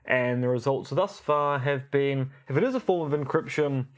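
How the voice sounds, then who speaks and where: A man's voice: medium pitch, moderate pace. A man co-hosting a podcast, explaining things in articulate, rather formal and academic phrasing. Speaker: podcast co-host.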